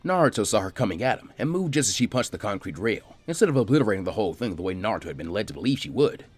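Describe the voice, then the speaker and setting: Fast-paced, lively male story narrator. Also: on the low side, husky, faint music behind. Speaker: fan fiction narrator.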